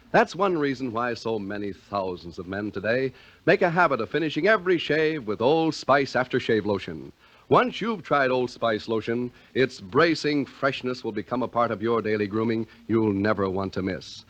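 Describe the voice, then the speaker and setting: A man's voice: mid-range voice, a bit bright, dry recording. A man working as a 1950s radio announcer, reading scripted copy in a polished, theatrical, emphatic way. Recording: dull vintage radio recording.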